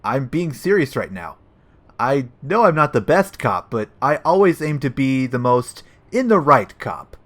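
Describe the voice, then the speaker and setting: A man acts as an upright but dim police detective in a comedy audio drama. His mid-pitched voice moves up and down a lot, always earnest and literal-minded. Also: sing-song, unhurried, a bit bright. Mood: sincere.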